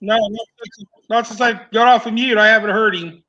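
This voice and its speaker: A man's voice: high-pitched, steady tone, a little fast, sharp tone, muffled recording. A man co-hosting a casual livestream, talking in a laid-back, plainspoken way while he works in his shop. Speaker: co-host on a livestream.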